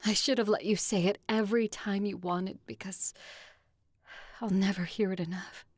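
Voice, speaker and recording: A woman's voice: medium pitch, steady tone, moderate pace, breathy edge, no echo, clean studio sound, close to an everyday voice. An actress playing a tough woman fighter who is fiercely attached to her lover in an audio drama, intimate and wistful. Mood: tender regret.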